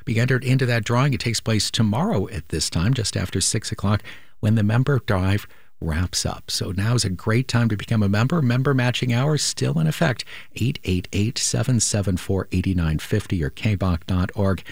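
A man's voice: lowish voice, expressive, moderate pace, vocal fry, dry recording, clean studio sound. A male radio host making an earnest, persuasive appeal during a pledge drive.

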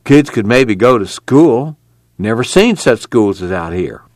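A man's voice: mid-range voice, lively intonation, a little slow, a little mellow, dry recording, studio quality, natural voice. A man voicing an anxious, poor middle-aged farming father with a pleading, folksy rural drawl.

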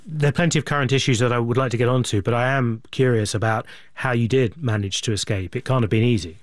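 A man interviewing a guest in a conversational broadcast style, asking measured, probing questions attentively. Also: medium pitch, dry recording, studio quality.

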